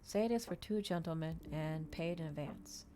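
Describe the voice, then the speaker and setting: A woman voicing an imperious, mocking male vampire gentleman in a storybook reading, commanding and taunting. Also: deep voice, normal speed, slight background noise, natural voice.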